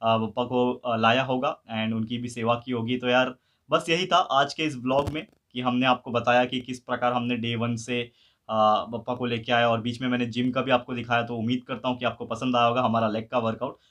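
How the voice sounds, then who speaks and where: A man's voice: mid-range voice, little pitch movement, fairly quick, a bit bright. Chatty man vlogging to his phone. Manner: chatty, easygoing, mixes English words into Hindi. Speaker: vlogger host. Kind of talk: casual vlog talk to camera. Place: indoor room and gym. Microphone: phone camera at arm's length.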